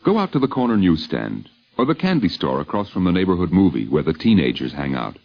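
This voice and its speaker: An American man narrating a 1950s radio documentary in a literary, measured and dramatic way, on a vintage recording. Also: low-pitched, very animated, gravelly, lo-fi sound, soft background music.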